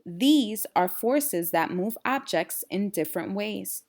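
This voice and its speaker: Woman as an unhurried, encouraging science teacher. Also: mid-range voice, animated, a little mellow.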